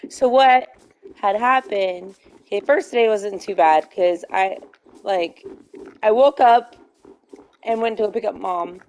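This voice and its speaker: A woman in her thirties, a streamer with a slightly hoarse voice, telling a rambling story while gaming, correcting herself and laughing often. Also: medium pitch, slow, long pauses, a little mellow.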